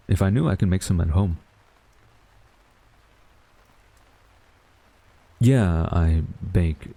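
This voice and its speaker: Tsundere male college rival, acted by a man: mellow, creaky young voice, halting and guarded, close dry audio-roleplay sound. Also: deep voice, no echo, heavily acted, poor audio. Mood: casual, guarded. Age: young adult. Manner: flustered, grumbling, halting denials. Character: tsundere young male university student rival, defensive, secretly smitten. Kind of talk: romantic audio roleplay acting.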